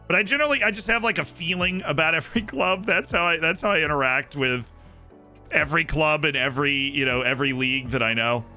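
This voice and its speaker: A man streaming a football management game, commenting excitedly on matches and chatting directly with his viewers. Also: a little high, fast talker, soft background music. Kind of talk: casual live-stream commentary to chat.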